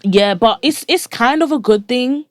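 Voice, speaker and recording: A blunt, lively young woman in her twenties, chatting on a podcast and saying "like" all the time. She sits close to the microphone, so the sound is dry and direct. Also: a little high, unhurried, no echo. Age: 20s. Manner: frank, blunt and animated, says "like" a lot. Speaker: podcast co-host. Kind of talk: casual chat.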